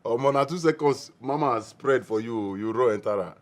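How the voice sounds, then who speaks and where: An actor with a Nigerian Pidgin accent playing a young man who teases his friend with excitable exclamations. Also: medium pitch, fairly quick, natural voice. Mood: teasing.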